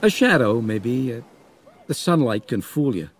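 A man's voice: medium pitch, sing-song, measured pace, a little hiss or hum, pitched above the performer's own voice. A man voicing a hesitant, apologetic boatman on watch in an audiobook. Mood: uneasy, unsure.

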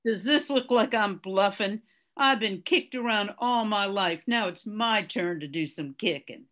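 Older woman as a slow, sardonic motel dame. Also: medium pitch, fairly bright tone, natural voice. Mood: threatening. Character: tough, bitter, blackmailing motel desk woman. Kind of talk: 1940s radio drama acting. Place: home room, video call. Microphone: computer mic on a video call.

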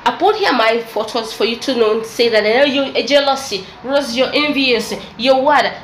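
A woman's voice: a little high, moderate pace. Woman, East African English accent, young adult, preachy, scolding, echoey room. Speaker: vlogger. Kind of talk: opinion commentary vlog. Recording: echoey room with faint noise.

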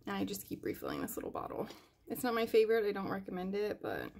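A woman's voice: medium pitch, wildly swooping pitch, fast talker, creaky, a little mellow. A young woman talking casually while tidying her nail polish desk at home, thinking aloud with fillers and hesitations. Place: home nail room, indoors. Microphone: overhead camera above desk.

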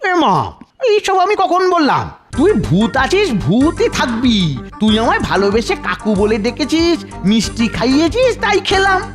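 A woman's voice: high voice, sing-song, normal speed, few pauses. A woman voicing a sly, greedy male celestial bookkeeper in a cartoon, scheming and repeating words. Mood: dismissive. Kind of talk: children's cartoon voice acting. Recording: music behind the voice.

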